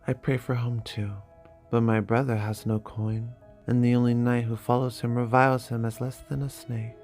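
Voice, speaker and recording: A man voicing a gentle but newly commanding young queen, measured and earnest, in a dramatic fantasy reading. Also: mid-range voice, little pitch movement, soft background music, close to an everyday voice. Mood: wistful.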